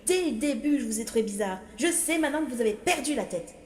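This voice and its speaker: A woman voicing a spirited, blunt young orphan in an animated film fandub, speaking directly and earnestly. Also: a little high, fast, close to an everyday voice. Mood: indignant. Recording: echoey reverb with film music.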